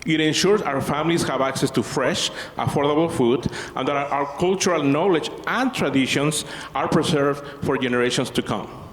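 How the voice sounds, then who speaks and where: A man who co-founded a community organization, giving a measured, earnest prepared speech. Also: on the high side. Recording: roomy hall reverb, faint noise.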